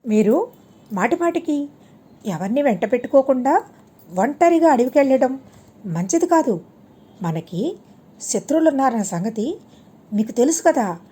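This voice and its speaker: A woman's voice: high-pitched, very animated, moderate pace, long pauses, dark tone, husky, full character voice. A woman voicing a wise, cautious king's minister in an audiobook tale, respectful and admonishing. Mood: cautioning. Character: wise, cautious royal minister counselling his king.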